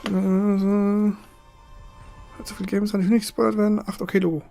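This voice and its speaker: A young streamer with a creaky, medium-pitched voice reacts casually to a broadcast. The remarks are muttered and sceptical, in everyday colloquial phrasing. Faint music plays behind. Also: level tone, normal speed, mellow. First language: German. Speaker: livestream reactor. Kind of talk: casual live reaction commentary.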